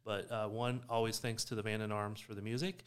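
A man's voice: lowish voice, flat delivery, a little fast, clean tone. A man co-hosting a sports podcast, talking casually and explaining his points with emphasis. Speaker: podcast co-host.

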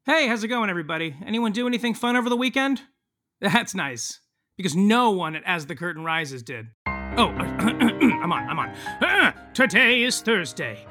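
A woman's voice: mid-range voice, sing-song, moderate pace, a bit bright, faint music behind. A woman playing a breezy, tongue-in-cheek narrator, giving scripted comic narration in a wry, chatty way. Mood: wry, playful.

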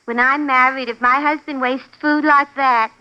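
A woman's voice: high-pitched, steady tone, normal speed, sharp tone, clear, resonant tone. An actress playing a naive, lovelorn young woman in a 1950s radio drama, plaintive and slangy, with an American accent. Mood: curious, plaintive. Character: lovelorn, dim, persistent young woman pining to marry. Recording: muffled vintage 1950s radio recording.

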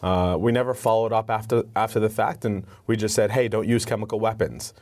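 A man's voice: on the low side, fairly even intonation, a little fast, a little mellow. A man speaking as a guest spokesperson in an interview, answering earnestly and repeating words as he starts each thought. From United States. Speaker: advocacy spokesperson.

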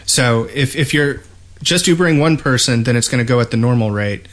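Male podcast host, mid-pitched, explanatory and a bit halting, in relaxed gamer discussion. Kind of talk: casual gaming discussion.

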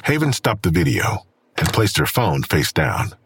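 A man narrating a suspense audio drama in the third person, as a grave storyteller with a husky voice. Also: low voice, huge pitch swings, a little slow, a bit bright, slight background noise, heavily acted. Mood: measured.

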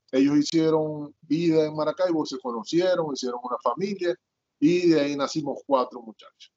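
A man's voice: medium pitch, darkish tone. A middle-aged man from Zulia, Venezuela, with a Zulian accent, telling his life story as a guest in an interview and rambling with many fillers and restarts. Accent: Zulian Venezuelan Spanish. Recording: dull lo-fi audio.

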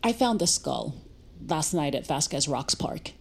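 An actress playing a hesitant, earnest young American woman in a naturalistic audio drama, speaking in a halting, awkward, wry way. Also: deep voice, animated, gravelly, breathy edge, exaggerated voice. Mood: nervous, hesitant.

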